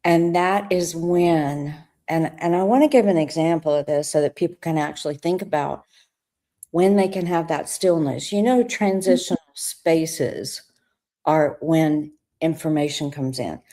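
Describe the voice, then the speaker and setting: Low-voiced reflective woman host. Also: a little slow, mellow. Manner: reflective, guiding the listener through ideas. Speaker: podcast host. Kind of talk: conversational spiritual talk.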